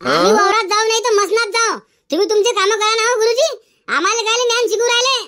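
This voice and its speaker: A man voicing a cheeky, boastful village schoolboy in a comedy cartoon, arguing in a Varhadi Marathi accent through a pitched-up effect. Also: quick pace, bright, forceful tone, exaggerated voice. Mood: defiant. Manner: argumentative, indignant, backtalking.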